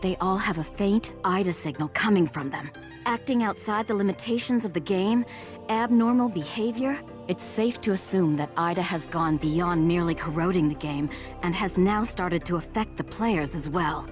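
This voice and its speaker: A woman's voice: lowish voice, normal speed, faint music behind, lightly acted. A woman doing a stern, composed female agent. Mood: briefing. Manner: crisp, matter-of-fact, briefing.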